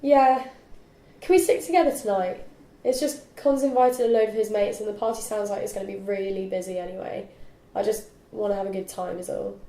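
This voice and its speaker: A woman's voice: medium pitch, fairly even intonation, a little fast, dark tone, lightly acted. A young woman playing a friendly, teasing student in a naturalistic film table read, recorded in an echoey room. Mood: earnest. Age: young adult.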